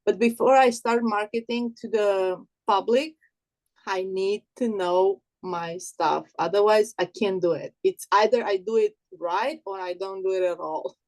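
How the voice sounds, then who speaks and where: A woman from Romania, raised in Italy and by birth, speaks slowly and unhurried on a video call. She is earnest and hesitant as a workshop participant, pausing often and starting her sentences again. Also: mid-range voice, darkish tone, rough recording. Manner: earnest, hesitant, many fillers and restarts. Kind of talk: casual chat.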